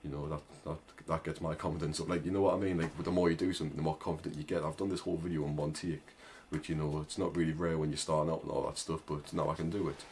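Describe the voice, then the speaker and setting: Fast-talking northern English lad, deep. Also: male voice, level tone, darkish tone, a little hiss or hum. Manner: rambling, filler-heavy, lots of "like" and "right?". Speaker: agency owner vlogger. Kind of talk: casual advice talk to camera.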